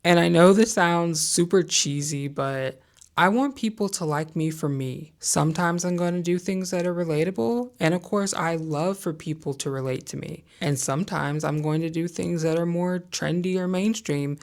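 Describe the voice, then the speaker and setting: Low-voiced young woman vlogging candidly. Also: moderate pace. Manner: candid, conversational, opinionated with hedges. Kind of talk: casual talking-head vlog.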